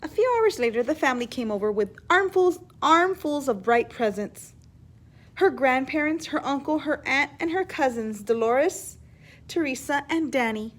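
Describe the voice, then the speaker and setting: A woman with a fairly high voice reads a picture book as a teacher would for children. Her delivery is expressive and emphatic, and she steps out of the story to explain words and put questions to her listeners. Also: normal speed. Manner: animated, emphatic reading with hushed asides. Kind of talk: expressive children's read-aloud with explanatory asides.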